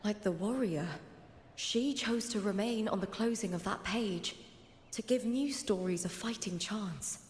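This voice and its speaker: A woman voicing an earnest, determined young heroine in a video game, with a breathy voice. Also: mid-range voice, a little slow, big-room sound, lightly acted. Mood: solemn, resolute. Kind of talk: video game story dialogue.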